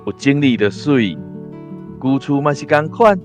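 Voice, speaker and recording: A man's voice: mid-range voice, lively intonation, a little slow, music in the background, close to an everyday voice. An actor with a Taiwanese accent playing a talkative, opinionated male villager in a radio drama. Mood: chatty. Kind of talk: conversational radio drama acting.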